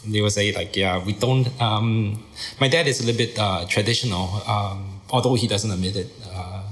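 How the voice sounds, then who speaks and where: A man's voice: on the low side, normal speed, fairly bright tone. A man answering interview questions as an entrepreneur guest, hesitant with many fillers. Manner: hesitant, many uhs, chuckles. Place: around a table in a room.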